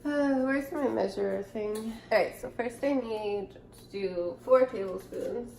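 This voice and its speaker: A woman's voice: a little high, a little slow, creaky, darkish tone, low-quality recording. A woman in her twenties with a stuffy, nasal voice from a cold, chatting casually and thinking aloud as she cooks in her home kitchen. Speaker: cooking vlogger. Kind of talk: casual cooking vlog. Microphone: camera or phone close up.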